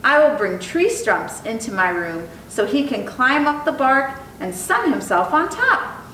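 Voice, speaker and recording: In an echoey room, slightly far from the mic, a woman reads as an earnest young boy from a storybook. He is eager and full of imagination, with careful phrasing and a lively, rising and falling voice. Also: unhurried, full character voice. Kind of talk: children's storybook reading. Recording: echoey room, distant mic, faint rumbling noise.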